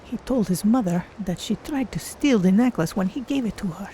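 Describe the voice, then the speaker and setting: A woman voicing a calm, thoughtful, curious female cat-folk adventurer as she narrates her way through a game. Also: mid-range voice, moderate pace, slight background noise, natural voice, low-quality recording. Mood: musing. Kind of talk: in-character game stream narration.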